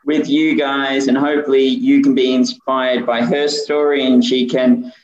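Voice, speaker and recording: A man's voice: mid-range voice, little pitch movement, a little slow, darkish tone, roomy sound, dull audio. A man hosting a podcast, introducing a guest in an enthusiastic, upbeat way. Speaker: podcast host.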